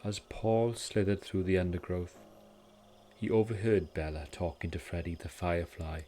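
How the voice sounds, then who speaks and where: A Welsh man gently narrating a scripted bedtime story in a soothing, calming way, as its host. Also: low-pitched, moderate pace, slight background noise. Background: music.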